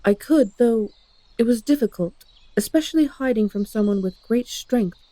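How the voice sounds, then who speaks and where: A woman's voice: fairly high pitch, expressive, normal speed, mellow, no room sound, natural voice. A woman playing a proud, determined, coldly blunt character in a fantasy audio drama. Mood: guarded.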